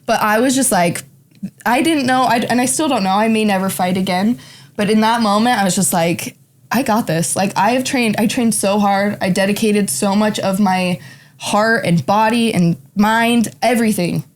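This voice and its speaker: A woman's voice: medium pitch, moderate pace. A young adult woman, a boxing coach guest, telling a story animatedly and filling her talk with "like". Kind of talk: personal interview.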